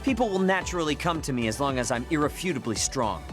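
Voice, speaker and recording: A man's voice: fairly high pitch, lively intonation, quick pace, close to an everyday voice. An actor playing a curt, defiant, proud young male soldier in a video game. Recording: music behind the voice.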